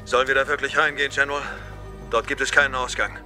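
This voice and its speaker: A dutiful male soldier in an audio drama. He speaks quickly and urgently in a bright, tense voice, with military directness and faint music behind. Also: medium pitch, level tone. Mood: discouraged. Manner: clipped, urgent military address. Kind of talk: dramatised audio play acting.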